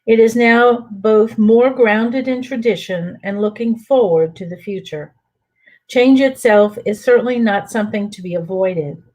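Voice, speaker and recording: An older woman from New Orleans reads a passage from her own book. Her delivery is measured and deliberate, with a mid-range pitch. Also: darkish tone. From New Orleans, USA. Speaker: author reading her book. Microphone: home computer mic for an online reading.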